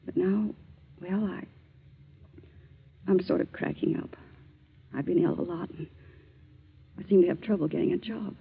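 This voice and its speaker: A woman's voice: fairly low pitch, measured pace, creaky, long pauses, husky, full character voice. An actress playing an ailing, remorseful middle-aged mother in a 1940s radio drama, weary and pleading. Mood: weary regret. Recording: muffled, scratchy 1940s radio broadcast.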